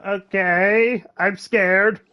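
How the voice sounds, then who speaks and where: A woman's voice: mid-range voice, steady tone, extremely slow, clear, resonant tone, lo-fi sound. A woman streaming a game, casually reacting and talking back to it.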